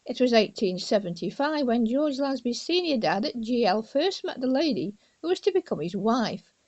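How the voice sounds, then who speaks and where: A woman reading her own biography book aloud as an informal audiobook, in a deliberate, discursive manner with asides to the listener. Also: on the high side, very animated, a little mellow, lo-fi sound.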